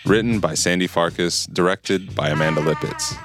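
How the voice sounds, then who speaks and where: A man with a low voice reads as a podcast announcer. His delivery is scripted, in the manner of a promo and end credits. Music plays clearly behind him. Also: moderate pace. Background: loud music.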